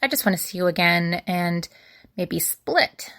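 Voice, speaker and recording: A woman's voice: fairly low pitch, lively intonation, measured pace, creaky, a bit bright, dry recording, clean studio sound, full character voice. A young woman playing a flustered, chatty witch in an audio drama, rambling awkwardly and correcting herself as she dictates a letter. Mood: nervous, rambling. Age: young adult. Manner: rambling, awkward, self-correcting, sighing asides. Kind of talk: audio drama monologue, conversational.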